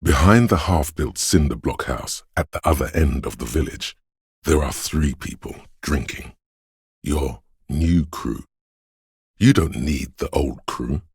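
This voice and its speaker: An extremely deep, raspy voice of an omniscient city spirit in a video game. It narrates with solemn, ominous poetry, measured and brooding. The recording is close and dry. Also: male voice, animated, a little mellow, no room sound, full character voice. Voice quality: very deep, gravelly, husky. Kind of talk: slow, poetic game narration in the second person.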